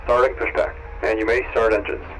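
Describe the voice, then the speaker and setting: A man voicing a calm ground crew chief. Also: lowish voice, fairly quick, a little hiss or hum, natural voice. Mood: procedural. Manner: flat procedural radio calls. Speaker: ground crew in a flight simulator. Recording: dull lo-fi game audio.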